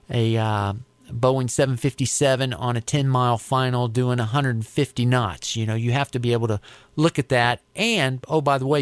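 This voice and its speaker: A middle-aged American man talks in an informal interview. His voice sits at a medium pitch, and he explains things conversationally, sometimes repeating words as he gathers a thought. The sound is dry, with very little room echo. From United States. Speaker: interviewee, air traffic controller.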